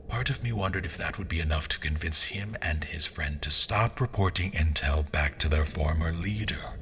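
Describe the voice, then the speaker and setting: A man playing a formal, wry royal adventurer who narrates his journey in a measured audio drama storytelling style. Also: sits low, pushed and bright, slight background noise, full character voice. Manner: formal, deliberate, storyteller delivery. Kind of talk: first-person scripted narration in an audio drama.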